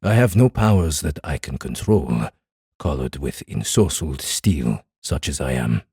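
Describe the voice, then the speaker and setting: A man playing a centuries-old enslaved demon, grave, proud and menacing, with a husky, rumbling voice and formal, weighty phrasing. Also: animated, measured pace, a little mellow, dry recording, studio quality, heavily acted. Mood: grim.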